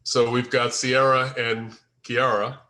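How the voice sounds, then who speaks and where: A man hosting a casual table read discussion as the writer, phrasing his thoughts in a halting, deliberate way. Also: medium pitch, level tone, pushed and bright, low-quality recording. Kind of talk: casual discussion, giving and asking for feedback.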